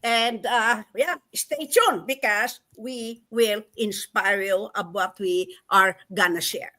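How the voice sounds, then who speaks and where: A woman's voice: medium pitch, slow, pushed and bright. An older woman from the Philippines, a grandmother, co-hosting a live online webinar in an encouraging manner. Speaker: co-host.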